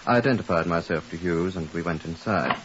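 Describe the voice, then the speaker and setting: A man playing a very deep-voiced British police superintendent in a vintage radio drama. He is composed, dry and a little weary, on a muffled recording with light hiss. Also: brisk, natural voice. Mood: dry, measured. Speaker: actor narrating and playing a detective. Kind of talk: 1950s radio drama narration and dialogue.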